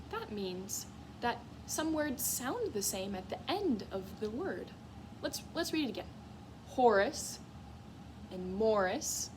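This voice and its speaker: A woman teacher giving a read-aloud lesson to young children in an expressive, encouraging way, often putting questions to her listeners. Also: medium pitch, background noise. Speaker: music teacher. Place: school classroom, in a reading corner.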